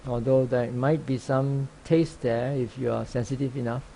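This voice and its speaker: Calm guy leading a meditation, soft mellow tone w/ some fry, long pauses, slight background noise. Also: medium pitch. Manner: calm, unhurried, with long pauses between short phrases. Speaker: meditation teacher, Buddhist monk. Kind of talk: guided meditation instruction.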